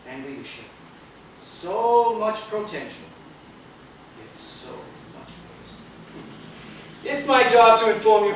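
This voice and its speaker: Echoey, pompous corporate tyrant. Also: male voice, high-pitched, a bit bright, exaggerated voice. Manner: pompous, lecturing, deliberate pauses. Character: menacing, smug corporate tyrant and disapproving father. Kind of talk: theatrical stage acting. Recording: distant, echoey theater videotape with noise.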